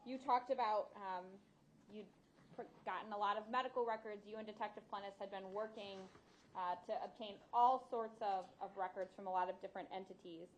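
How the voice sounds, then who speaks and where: A woman's voice: medium pitch, steady tone, brisk, low-quality recording. American woman prosecutor questioning in court. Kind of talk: courtroom direct examination.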